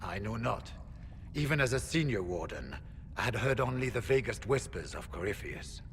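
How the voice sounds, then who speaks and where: A man voicing a grave, courteous senior warrior in a video game, measured, solemn and formal. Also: on the low side, very animated. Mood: solemn, worried. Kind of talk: dramatic video game acting. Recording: long reverb, faint ambient noise.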